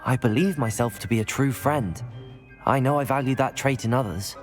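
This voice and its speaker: A man's voice: mid-range voice, moderate pace, faint music behind, close to an everyday voice. An actor playing a polite, earnest teenage boy wizard in a fantasy game, in a reverberant space.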